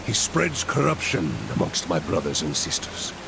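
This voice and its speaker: A deep, gravelly otherworldly commander. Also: male voice, big pitch swings, exaggerated voice. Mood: grave pleading. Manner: archaic, theatrical, solemn. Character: grave, commanding male otherworldly leader of soldiers. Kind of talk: game cutscene dialogue. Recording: rainy rushing noise behind.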